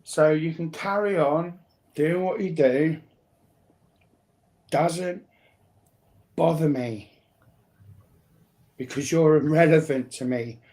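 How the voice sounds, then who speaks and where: Man, slightly high pitch, unhurried pace, blunt, sweary, casual stream chat. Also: darkish tone.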